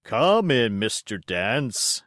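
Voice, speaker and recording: Man acting a blustering, stately squire, swooping pitch. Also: very animated, very slow, a bit bright, no echo, studio quality, heavily acted. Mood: stately, hearty. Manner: exclamatory, condescending, grandiloquent. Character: bluff, stately, hot-headed middle-aged country squire.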